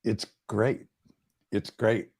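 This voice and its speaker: Low-voiced man in his sixties. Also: little pitch movement, very slow, darkish tone, slightly rough. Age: 60s. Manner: earnest, self-deprecating storyteller. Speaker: business coach guest. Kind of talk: casual interview chat. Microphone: boom microphone.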